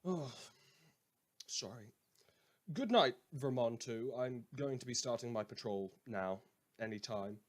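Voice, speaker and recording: A man's voice: mid-range voice, measured pace, pauses often, lightly acted. A man playing a sardonic, mock-grandiose radio host turned self-appointed lawman in a scripted audio drama monologue, rambling and repeating himself. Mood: wry, smug. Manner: sarcastic, rambling, mock-grandiose, hesitant repetitions.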